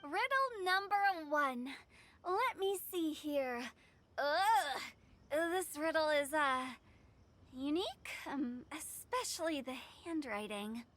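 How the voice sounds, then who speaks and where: Playful young game festival hostess, high-pitched, addressing a group, faint noise behind. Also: female voice, animated, slow pace, fairly bright tone, full character voice. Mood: presenting. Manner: announcing to a gathered group. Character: lively young female festival host, playful, announcing rules. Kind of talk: video game cutscene voice acting.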